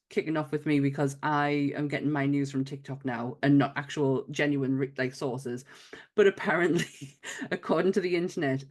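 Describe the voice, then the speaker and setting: A woman's voice: deep voice, darkish tone. A woman with a light Wearside accent from north-east England, co-hosting a podcast in giggly, rambling casual chat. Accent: light Wearside (Sunderland–Durham). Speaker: podcast co-host.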